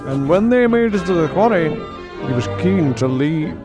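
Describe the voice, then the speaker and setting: A man's voice: on the high side, animated, moderate pace, music in the background. A man reading scripted, dramatic narration as the storyteller of a children's audio drama.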